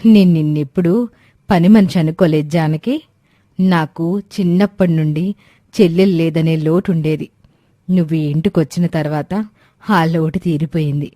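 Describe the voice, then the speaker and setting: A woman voicing a kind, caring wife of a wealthy landlord in a storybook tale, warm and sincere. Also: deep voice, moderate pace, no room sound, studio quality, natural voice. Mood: affectionate.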